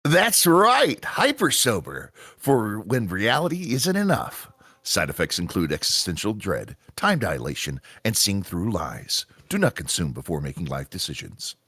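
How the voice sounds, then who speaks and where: A man playing a slick, over-enthusiastic commercial announcer with an emphatic sales pitch, in a table read of a parody radio advert. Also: huge pitch swings, unhurried, a bit bright, slightly rough, dry recording, exaggerated voice. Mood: punchy pitch.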